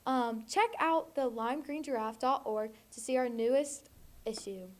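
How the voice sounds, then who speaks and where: High-voiced teenage girl reading a storybook. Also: unhurried. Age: teens. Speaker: volunteer storybook reader. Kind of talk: children's storybook read-aloud.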